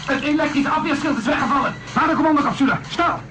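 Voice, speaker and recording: A hot-tempered spaceship commander in a radio play. His voice is pushed very high as he barks terse orders over clear background vehicle noise. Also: fast. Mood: urgent. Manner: barking, terse, irritable. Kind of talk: urgent radio drama acting.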